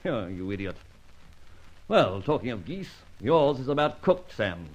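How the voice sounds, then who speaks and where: Commanding, mocking police inspector, very lively delivery, vintage radio detective serial, muffled recording. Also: male voice, mid-range voice, a little slow. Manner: barking orders, sarcastic, theatrical. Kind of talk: old radio detective drama acting. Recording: dull lo-fi old radio recording.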